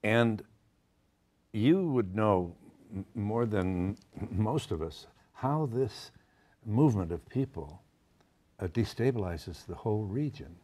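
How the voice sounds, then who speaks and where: Lively-toned man asking probing questions. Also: lowish voice, slow pace, long pauses. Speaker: interviewer. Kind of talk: broadcast interview questions.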